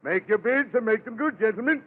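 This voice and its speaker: A man's voice: high-pitched, animated, a little fast. An actor playing a pompous, wheedling auctioneer in an old-time radio horror drama, with theatrical salesman patter. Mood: grandiose, coaxing. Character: wheedling, pompous English auctioneer hiding sinister knowledge. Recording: muffled, narrow-band vintage radio recording.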